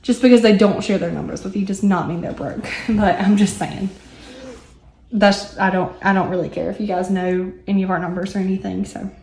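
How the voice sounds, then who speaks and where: Hoarse chatty young woman, echoey room. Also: medium pitch, steady tone, fairly quick, darkish tone. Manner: chatty with many ums and asides. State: hoarse, recovering lost voice. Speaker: budgeting vlogger. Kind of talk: casual chat while working on paper.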